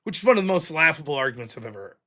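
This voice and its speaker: A man's voice: fairly high pitch, sing-song, rapid-fire, bright, forceful tone. A man hosting a solo political commentary livestream, explaining a legal reading point by point in an argumentative, emphatic way. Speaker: livestream show host.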